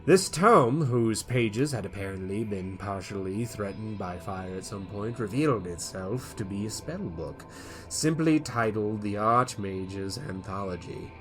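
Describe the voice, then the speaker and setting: A man with a low voice performs a first-person backstory as a dramatic reading. His delivery is theatrical and animated, lifting sharply on key words and falling away quietly at the close of phrases. A faint music bed plays beneath him. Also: a little slow. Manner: theatrical, rising to loud emphatic peaks then dropping low. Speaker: player reading character backstory.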